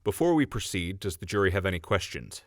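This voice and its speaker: A man's voice: low voice, big pitch swings, fast, no echo, studio quality. A man voicing a stern town judge who speaks formally and scolds the defendants.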